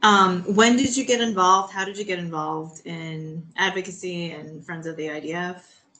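A woman's voice: fairly low pitch, moderate pace, sharp tone. A woman co-hosting an interview who asks questions with some hesitant restarts. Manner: hesitant, restarts while asking.

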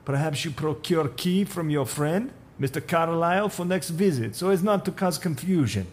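A man's voice: on the high side, animated, normal speed, voice pushed up, natural voice. A man voicing a tall male building supervisor with a Russian accent, speaking stiff, broken English. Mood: nervous.

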